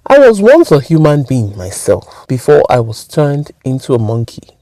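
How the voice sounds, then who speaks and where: A woman's voice: extremely low pitch, huge pitch swings, moderate pace, dark tone, no room sound, full character voice. A woman voicing a solemn, remorseful man who was turned into a monkey, in a spoken folktale. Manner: solemn, earnest pleading.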